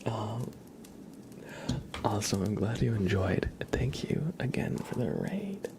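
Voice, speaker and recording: A man hosting an ASMR livestream in a breathy whisper, speaking in a gentle, unhurried, soothing way. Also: sing-song, noisy background. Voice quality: breathy, whispered, low creaky voice. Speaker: ASMR live streamer, host.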